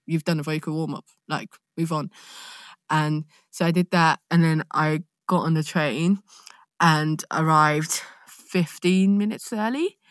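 London woman, lowish voice, chatting casually. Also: measured pace, no echo, studio quality. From London, England, born in Nigeria. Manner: animated storytelling with self-quoted asides. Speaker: actor, interview guest. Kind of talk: casual anecdotal chat.

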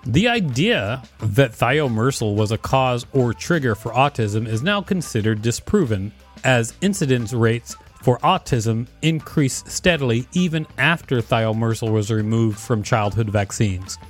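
A man's voice: medium pitch, lively intonation, unhurried, few pauses, soft background music. A man hosting and reading an encyclopedia article aloud in a scripted narration.

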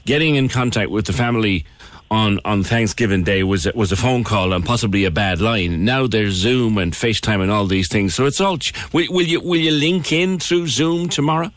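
A man's voice: on the low side, normal speed, no room sound. A man hosting a radio show, chatting and joking with his guests in a casual interview.

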